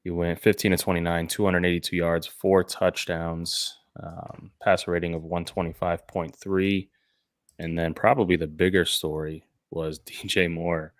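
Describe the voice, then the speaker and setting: A man hosts a sports podcast in a low voice that stays fairly flat. He talks casually and has a slight cold. The recording is dry and close. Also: moderate pace, no echo.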